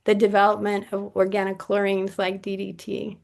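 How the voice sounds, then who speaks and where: A woman's voice: medium pitch, completely flat delivery, moderate pace, creaky. A woman presenter on a video call, casually going over poll answers with some hesitation. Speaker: webinar presenter. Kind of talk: reviewing poll results. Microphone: computer mic on a video call.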